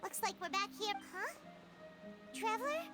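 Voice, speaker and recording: A woman playing a tiny, chatty, excitable floating fairy companion in a video game, with a squeaky childlike voice. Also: moderate pace. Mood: puzzled, alarmed. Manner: refers to self in third person. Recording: game audio with loud background music. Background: loud game music.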